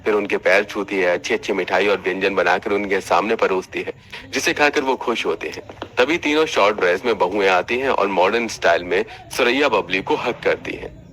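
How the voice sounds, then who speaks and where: A man's voice: on the low side, fairly quick, fairly bright tone. A man reading scripted narration for a cartoon family story.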